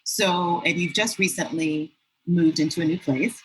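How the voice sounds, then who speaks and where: A woman's voice: lowish voice, unhurried, a little hiss or hum, poor audio. A woman hosting a podcast interview, asking questions and encouraging her guest with frequent agreement. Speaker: podcast host.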